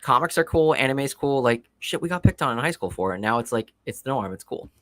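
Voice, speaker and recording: A man in his late thirties chatting casually on a livestream, telling rapid, profane stories. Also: mid-range voice, expressive, a bit bright, no room sound. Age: 30s. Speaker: livestream guest. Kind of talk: casual chat.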